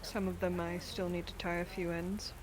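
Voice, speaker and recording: A woman's voice: on the low side, level tone, normal speed, natural voice. A woman playing a bold, vengeful female adventurer in improvised fantasy roleplay, talking in casual slang with some swearing. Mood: defiant, confident.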